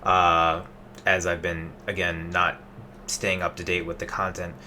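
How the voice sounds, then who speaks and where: Chatty young man, bright forceful tone. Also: low-pitched, monotone, a little slow, a little hiss or hum. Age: 20s. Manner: loose and chatty, many fillers and restarts. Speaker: investing vlogger. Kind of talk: casual rambling webcam update. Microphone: webcam.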